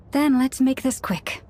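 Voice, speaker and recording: A woman playing a calm, understated antlered young woman in an anime game, gentle and matter-of-fact. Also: sits high, slight background noise, heavily acted. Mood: resigned.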